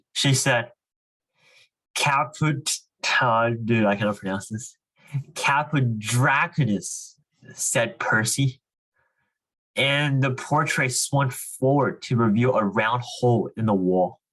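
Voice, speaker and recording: A young man speaks at an unhurried pace in a medium-pitched voice. He leads a children's reading club, casually explaining things and reading aloud. His phrasing is informal, full of small conversational asides. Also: fairly bright tone, rough recording. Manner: informal, frequent "like" and "you know". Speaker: student reading club leader.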